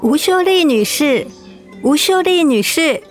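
High-voiced, efficient nurse. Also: female voice, animated, a little slow, faint music behind. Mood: polite, businesslike. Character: efficient polite female hospital nurse. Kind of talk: radio drama acting.

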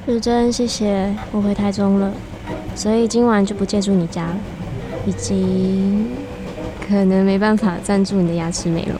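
A woman's voice: mid-range voice, level tone, normal speed, natural voice, low-quality recording. An actress playing an earnest, lonely romantic woman in a radio drama, speaking hesitantly and wistfully. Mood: sad, wistful. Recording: music bed under the voice.

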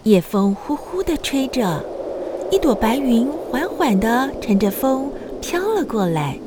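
A woman narrating a children's story in an expressive, scripted storyteller style. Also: fairly high pitch, unhurried, noisy background.

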